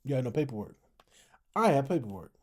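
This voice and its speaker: Mellow, tired American man commenting. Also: mid-range voice, very animated, a little fast. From United States, family from Texas. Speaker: host commenting on an online discussion. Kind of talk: casual opinionated commentary.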